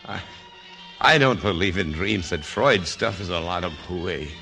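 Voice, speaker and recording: A man's voice: sits low, animated, measured pace, vocal fry, bright, forceful tone, heavily acted. An actor playing a troubled, sullen amnesiac man, curt and resistant, in 1940s radio drama style. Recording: vintage radio broadcast, music behind.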